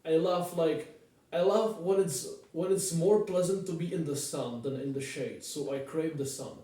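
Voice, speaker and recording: A man's voice: on the high side, normal speed, warm tone. A man from the Czech Republic with a Czech accent, telling stories casually in a rambling, repetitive, informal way. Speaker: vlogger. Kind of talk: rambling casual storytelling to camera. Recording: very echoey room, distant microphone.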